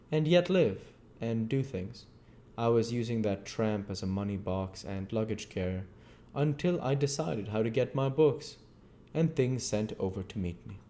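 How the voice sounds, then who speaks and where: A man's voice: medium pitch, warm tone, close to an everyday voice. A man voicing an arrogant, bitter, volatile scientist on the run in a storybook, declaiming emphatically and indignantly.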